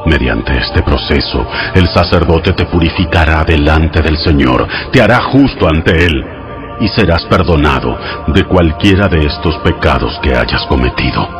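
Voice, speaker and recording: An actor playing a solemn, commanding God who gives laws in a measured, instructive way in a dramatized audio Bible. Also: male voice, a bass voice, lively intonation. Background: music.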